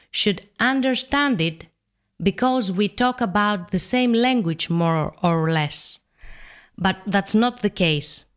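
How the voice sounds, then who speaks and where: Slow woman teacher. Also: mid-range voice, clean tone. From Greece. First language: Greek. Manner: measured, explanatory. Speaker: Greek language teacher. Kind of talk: scripted explainer video.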